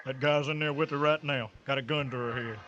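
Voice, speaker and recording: A man's voice: mid-range voice, fairly even intonation, a little fast, dull audio, lightly acted. A man voicing a blunt, confident small-town sheriff, drawling and commanding, in an old radio recording with hiss. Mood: gruff.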